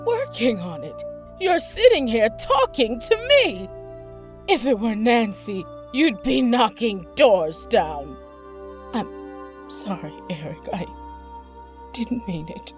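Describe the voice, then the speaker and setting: A woman's voice: a little high, very animated, takes it slowly, faint music behind. A woman acting as a volatile, grief-stricken mother in an emotional audio drama. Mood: subdued, apologetic. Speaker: actor in audio crime drama.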